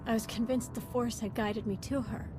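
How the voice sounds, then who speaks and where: An actress playing a devoted, reflective former Sith apprentice in a video game, speaking earnestly in measured, formal phrases. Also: female voice, on the high side, steady tone, music in the background, lightly acted.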